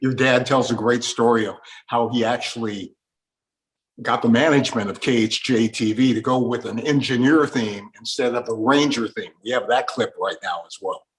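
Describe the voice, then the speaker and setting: A man's voice: mid-range voice, moderate pace, low-quality recording. Male interviewer, middle register, prepared presenting style.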